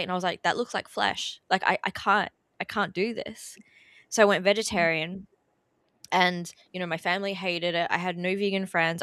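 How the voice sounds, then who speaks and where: A woman's voice: fairly low pitch, a bit bright, dry recording, clean studio sound. A tearful woman, talking casually as a podcast guest, with lots of fillers and laughter mixed in. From Australia. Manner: chatty, many fillers, laughs through emotion. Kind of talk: casual interview chat. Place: relative's house, indoors.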